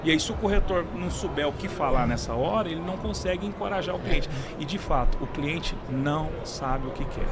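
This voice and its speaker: A man's voice: on the high side, expressive, a little fast, few pauses. A Brazilian Portuguese-speaking man answering in a conversational podcast interview, often repeating and restarting his words. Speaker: guest, real estate sales director. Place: busy trade fair podcast booth. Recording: clearly noisy event floor with traffic-like ambience.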